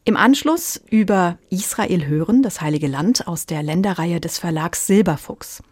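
A woman's voice: fairly low pitch, lively intonation, normal speed, darkish tone, no echo. A woman hosting a radio programme, presenting scripted introductions and putting questions to a guest. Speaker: radio host.

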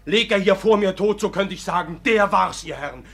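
A man's voice: high-pitched, a little fast, a bit bright, breathy edge, full character voice. An actor playing a hot-headed, blunt young peasant man in a classic comedy radio play. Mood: indignant, defiant. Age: young adult.

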